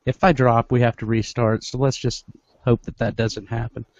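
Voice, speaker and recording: A man's voice: mid-range voice, little pitch movement, no echo. A middle-aged man hosting a live group video call on a headset, talking loosely with restarts. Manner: loose, halting, with many restarts and laughs. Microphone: headset with headphones. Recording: dry, dull video-call audio.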